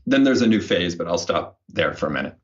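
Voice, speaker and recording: A man's voice: sits low, animated, fast talker, lo-fi sound. A man speaking as an interview guest, telling his story in a casual, anecdotal way. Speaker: guest, behavior design researcher.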